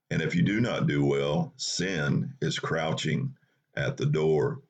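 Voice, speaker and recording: A man hosting a Bible study, reading scripture aloud in an unhurried, plain way, with a low morning voice. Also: vocal fry, slightly rough. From North Carolina.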